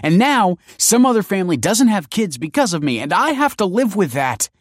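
A man's voice: mid-range voice, a little fast, no room sound, clean studio sound, voice pushed up, lightly acted. A man voicing a distraught, defensive wife who pleads tearfully and justifies herself in a dramatic story reading. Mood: defensive, agitated. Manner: pleading, self-justifying, emotional. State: tearful, sniffing.

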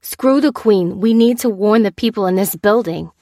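A woman's voice: mid-range voice, normal speed, dark tone, dry recording, studio quality, lightly acted. A woman voicing a desperate, defiant wounded cop in an audiobook, terse and pleading. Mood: urgent, defiant. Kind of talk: dramatised audiobook dialogue.

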